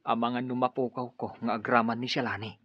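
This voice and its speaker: A man's voice: medium pitch, little pitch movement, fast, lightly acted. A man acting a stern, conflicted young rebel lover in a radio drama, speaking with an Ilocano accent. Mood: troubled, stern. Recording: dull lo-fi audio.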